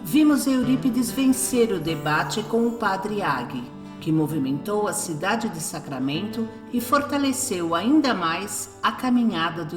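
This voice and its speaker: A Brazilian woman narrates a radio drama from a script. Her medium-pitched voice sounds in a roomy echo, with soft music underneath.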